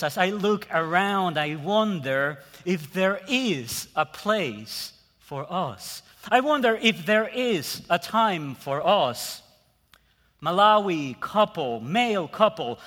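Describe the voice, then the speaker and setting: A man with a Puerto Rican Spanish accent, a guest preacher delivering a sermon in measured phrases with pauses that trail off softly. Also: high voice. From Puerto Rico. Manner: slow, measured preaching with dramatic pauses and soft trailing endings. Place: church sanctuary. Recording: long church reverb.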